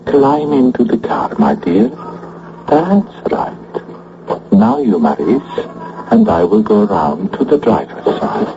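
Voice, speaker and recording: An actor playing a sinister male fake-spiritualist doctor, smooth, coaxing and formally commanding, in a radio drama. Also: lowish voice, big pitch swings, slow, background noise, heavily acted. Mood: cold, commanding. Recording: dull lo-fi old radio recording.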